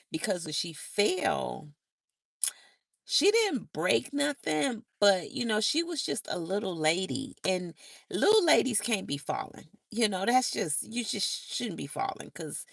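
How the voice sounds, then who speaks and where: A hoarse woman, a motivational speaker, telling a rambling family story on a casual livestream with chatty asides and self-corrections. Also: fairly low pitch, a bit bright, no room sound, clean studio sound. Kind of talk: casual live-stream storytelling.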